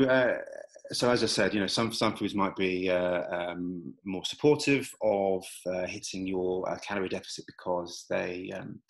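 A man, a nutrition coach talking casually over slides, relaxed with many fillers and restarts. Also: low voice, low-quality recording.

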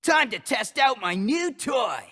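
Cocky, excitable teenage boy with swooping pitch. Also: measured pace, long reverb tail, heavily acted. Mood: excited, cocky. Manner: boastful, boyish, whooping. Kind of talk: video game cutscene acting.

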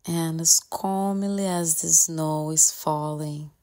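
Very slow, low-voiced woman guiding meditation. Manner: calm, gentle, with long pauses between short phrases. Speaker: meditation guide. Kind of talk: guided meditation, soft and soothing instruction.

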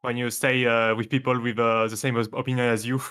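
A man's voice: medium pitch, flat delivery, quick pace, fairly bright tone, clear, resonant tone. Easygoing man with French accent. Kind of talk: casual group chat.

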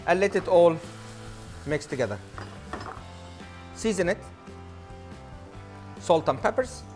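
A man's voice: high-pitched, animated, slow, music in the background. A man in his thirties, a chef giving an enthusiastic cooking demonstration on a studio kitchen set, on a lapel mic. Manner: enthusiastic, non-native phrasing.

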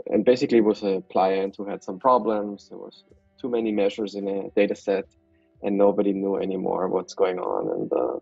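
Deep husky man from Austria on a call. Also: no room sound, dull audio. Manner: relaxed, hesitant, many fillers. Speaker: guest, software developer. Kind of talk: casual video call interview. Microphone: video call.

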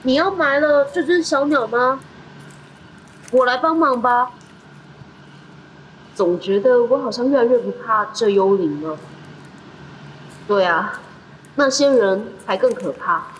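A woman's voice: a little high, unhurried, pauses often, a little hiss or hum, close to an everyday voice, rough recording. A woman voicing an earnest, determined young boy who worries for his older brother, in amateur audio drama style.

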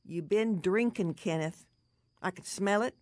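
A woman playing a loving but stern elderly grandmother in a radio drama, earnest and gently admonishing. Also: medium pitch, unhurried, no room sound, lightly acted. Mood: concerned, reproachful.

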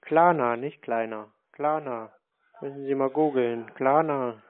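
A man chatting casually on a call, deadpan and acting naive as he strings along a sales agent. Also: medium pitch, unhurried. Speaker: prank caller baiting scammers. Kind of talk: casual phone chat. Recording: narrow phone-like sound.

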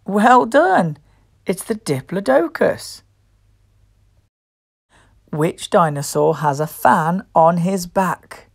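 Slow, animated woman reading to young children. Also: fairly low pitch, no room sound, studio quality. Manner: playful, encouraging, clearly addressing children. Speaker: children's storybook reader and host. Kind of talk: expressive picture-book read-aloud for young children.

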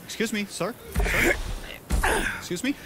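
Persuasive salesman, animated film, theatrical coaxing, lively intonation, slow delivery. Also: high voice, background noise. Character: smooth-talking male salesman, eager and persuasive.

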